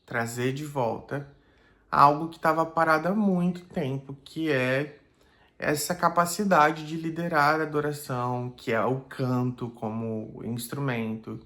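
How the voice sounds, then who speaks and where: Reflective pastor talking casually. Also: male voice, fairly high pitch, fairly even intonation, a little slow, pushed and bright. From Brazil. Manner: thoughtful, many fillers like "né" and "é".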